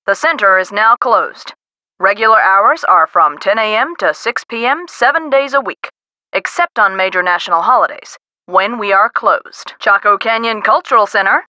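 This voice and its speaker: An actress voicing a businesslike, wry middle-aged woman director in a video game, brisk and matter-of-fact. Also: mid-range voice, expressive, sharp tone, dry recording.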